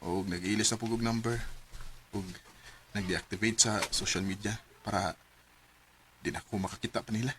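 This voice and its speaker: A young man with a Cebuano accent, earnest and soft-spoken, acting the lead in a radio drama. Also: lowish voice, steady tone, normal speed, long pauses, background noise. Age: young adult. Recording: faint music underneath.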